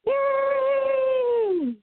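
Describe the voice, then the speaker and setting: A woman hosts a casual fan talk show in a fairly high voice, chatty and prone to wandering off topic. She sounds a little worn out. Her voice comes through a thin, phone-like line with a dry, close sound. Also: drawn-out words, no echo. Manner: chatty, rambling, easily sidetracked. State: tired. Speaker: podcast host.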